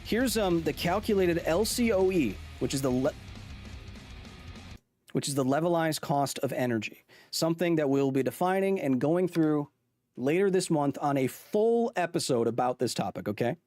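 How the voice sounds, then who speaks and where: A man's voice: fairly high pitch, animated, moderate pace, darkish tone, dry recording, soft background music. A man streaming to his chat, putting on a mock Australian accent as he rambles through a chart with lots of restarts. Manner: rambling, with frequent restarts and self-corrections. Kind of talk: casual livestream commentary on a chart.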